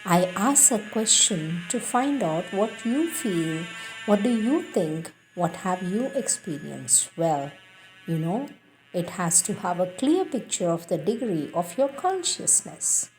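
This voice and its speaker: A woman reading spiritual teachings aloud in a deliberate, emphatic, devotional way. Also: mid-range voice, a little mellow, rough recording. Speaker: reader of spiritual texts. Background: music.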